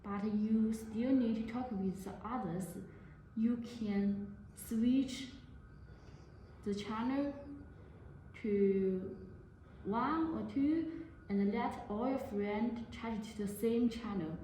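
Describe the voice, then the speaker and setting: A woman giving a product demonstration to camera in halting, non-native English phrasing. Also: fairly high pitch, mellow. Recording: very echoey room, faint noise.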